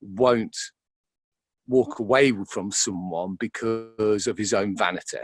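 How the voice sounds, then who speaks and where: A man, an actor being interviewed, talking reflectively and casually in a halting way, often repeating words as he thinks. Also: fairly low pitch, dry recording, studio quality.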